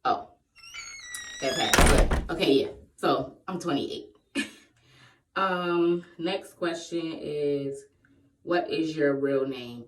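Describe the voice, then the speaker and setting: A woman in her late twenties talks casually to her viewers, playful and laughing easily. Her voice sits a little low. She is recorded from a distance in an echoey room. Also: slow, long pauses, slight background noise. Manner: playful, laughs often, breaks into sung bits. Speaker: vlogger. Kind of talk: casual Q&A vlog. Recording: echoey room, voice far from the mic.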